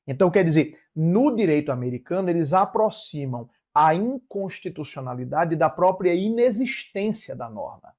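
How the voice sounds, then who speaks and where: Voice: mid-range voice, expressive, normal speed, few pauses, darkish tone. A Brazilian law teacher giving an explanatory lecture, didactic, repeating and rephrasing key phrases with short check-in tags.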